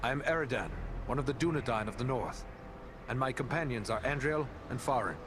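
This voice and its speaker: An actor playing a resolute human ranger in a fantasy video game, speaking in a formal, earnest way. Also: male voice, mid-range voice, fairly quick, noisy background, natural voice. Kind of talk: video game cutscene acting.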